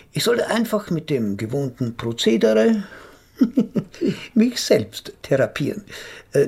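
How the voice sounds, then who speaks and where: Very husky, gravelly psychotherapist. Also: male voice, a little high, full character voice. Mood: nervously formal. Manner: hesitant, formal, clinical phrasing. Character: male psychotherapist, analytical, nervously amused, humouring his patient. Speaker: actor in a radio play. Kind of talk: German radio drama acting.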